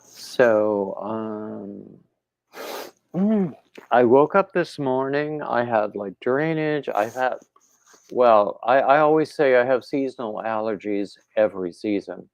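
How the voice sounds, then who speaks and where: A man hosting a casual live chat with his viewers, rambling in a relaxed way and reading their comments aloud, his throat a little congested. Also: mid-range voice, takes it slowly, gravelly, no echo, muffled recording. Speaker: livestream host.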